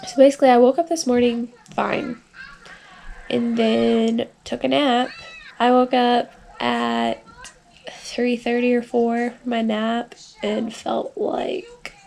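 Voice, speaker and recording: A woman's voice: fairly high pitch, takes it slowly, creaky, darkish tone, a little hiss or hum. A woman, sick and congested, rambling through a casual video diary with lots of filler words. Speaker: vlogger.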